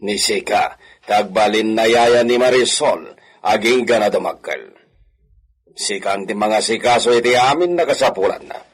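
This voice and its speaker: A man's voice: lowish voice, moderate pace, slightly rough. A man with an Ilocano accent acting an authoritative, stern villa master and father in a radio drama. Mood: stern, instructing. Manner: authoritative, sprinkles in Spanish phrases.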